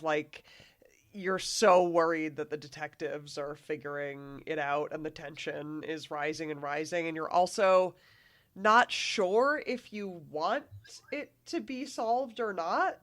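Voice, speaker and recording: Female podcast guest, slightly low voice, informal conversational book talk. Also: fairly even intonation, a little slow. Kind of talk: casual book discussion.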